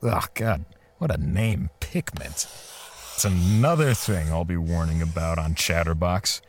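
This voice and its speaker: Man playing a deep-voiced, brooding artist. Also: slight background noise. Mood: wry. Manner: halting, with sighs and pauses. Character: bitter, defensive male portrait artist hiding guilt. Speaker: voice actor in an audio drama. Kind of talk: audio drama dialogue and first-person narration.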